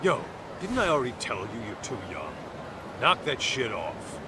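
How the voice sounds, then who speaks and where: An actor playing a brash middle-aged detective in a video game dub, with an American accent and blunt, slangy delivery. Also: male voice, medium pitch, wildly swooping pitch, moderate pace, background noise. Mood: scolding. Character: brash, street-tough middle-aged male detective, gruffly fatherly. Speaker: private detective.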